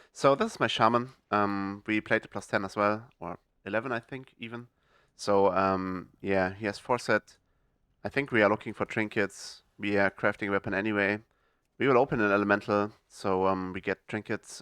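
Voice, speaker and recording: Relaxed man in casual gaming commentary. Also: fairly low pitch, clean tone, no echo, clean studio sound. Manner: hesitant, many fillers and restarts. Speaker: gaming video host.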